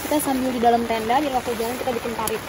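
High-voiced woman vlogging at a campsite. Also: fairly even intonation, racing, noisy background. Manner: chatty, addresses viewers directly. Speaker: camping vlogger. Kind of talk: casual vlog chat to camera. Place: outdoors at a misty hill campsite and in a tent. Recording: talk over loud background music.

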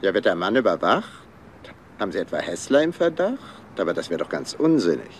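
An actor playing a courteous but easily indignant doctor, formal and assured, in a German crime radio play. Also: male voice, low voice, very animated, fairly quick, a little hiss or hum. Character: courteous, indignant, well-spoken male doctor.

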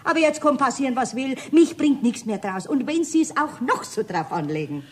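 A woman's voice: high-pitched, animated, fairly quick, husky. An actress playing a feisty, sceptical, outspoken cleaning lady with a Bavarian accent in a radio play. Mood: indignant. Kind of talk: 1970s German radio play.

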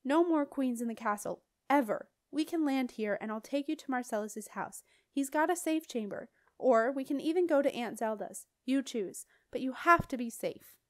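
Woman acting an urgent, earnest high-voiced boy. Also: sing-song, a little slow, hardly any pauses, a little mellow, full character voice. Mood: pleading. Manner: urgent, insistent, raised voice. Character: earnest, protective, determined boy piloting a dragon. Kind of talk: audiobook character dialogue.

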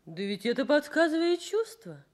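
An actress in a Soviet radio drama playing a modest, caring nurse of about twenty, simple and frank in manner. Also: female voice, sits high, sing-song, moderate pace.

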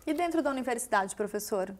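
A woman's voice: on the high side, expressive, quick pace. A woman in her thirties with a Brazilian accent, hosting a studio television interview and putting questions to a guest. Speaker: TV interview host.

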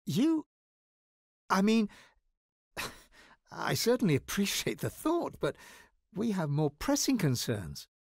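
A bookish fantasy game wizard, wry and guarded with an anxious edge. His delivery is articulate and very lively in pitch. The sound is dry and close. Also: slow pace, pauses often, dry recording, full character voice. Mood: hesitant, pleading. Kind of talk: video game voice lines.

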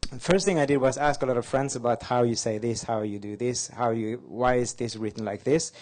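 Norwegian guy doing a quick upbeat talk on stage, bit of joking at himself. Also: mid-range voice, a little mellow. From Norway. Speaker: conference speaker. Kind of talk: fast-paced slide talk. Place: conference hall.

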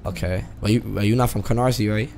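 A man's voice: lowish voice, quick pace, creaky, a crowd in the background. A young man from California chatting casually in slang during online role-play, playfully argumentative and repeating himself, on a noisy headset. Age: young adult. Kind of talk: casual slangy in-character chat. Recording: noisy game voice chat, background noise.

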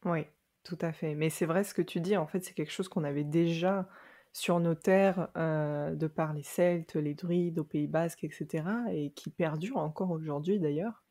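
Woman hosting a podcast, lowish voice, chatty, laughs a lot, lots of euh. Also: normal speed. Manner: warm, laughs easily, hesitant restarts. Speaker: podcast host. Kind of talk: conversational interview.

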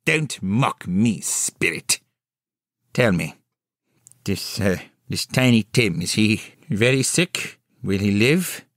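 A man's voice: on the low side, lively intonation, takes it slowly, fairly bright tone, dry recording, studio quality. A man playing a shaken, pleading old miser who speaks in a hesitant, earnest way. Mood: anxious, pleading.

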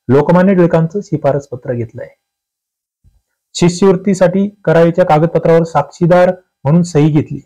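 Mellow man teaching exam questions. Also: a little high, poor audio. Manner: conversational, puts rhetorical questions to students. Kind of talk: quiz lesson, reading questions aloud and explaining answers.

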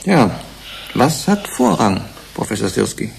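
An actor playing a persistent commission investigator in a German radio drama, questioning with deliberate emphasis. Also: male voice, mid-range voice, sing-song, vocal fry, full character voice. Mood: measured, insistent. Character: male UN commission investigator, probing, measured, persistent. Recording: faint background noise.

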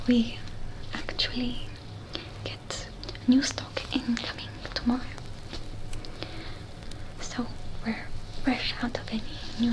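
A woman's voice: on the high side, rough recording. A woman as a whispering cyberpunk repair technician. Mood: reassuring. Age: young adult. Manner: slow, gentle, soft-spoken. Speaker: ASMR performer. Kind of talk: whispered ASMR roleplay. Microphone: close ASMR microphone.

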